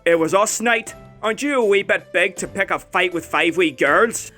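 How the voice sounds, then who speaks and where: A man's voice: high-pitched, moderate pace, sharp tone, faint music behind. A man voicing an arrogant, scheming villain lord in a comic fan dub, haughty and theatrical. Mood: haughty taunting.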